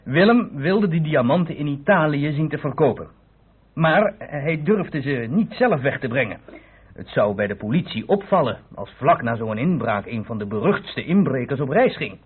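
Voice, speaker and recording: A man's voice: fairly high pitch, animated, moderate pace, slightly rough. A man acting a police inspector in a radio play, explaining patiently like a storyteller. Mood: explanatory. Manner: explanatory storyteller, chuckling asides. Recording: muffled phone-like old radio recording.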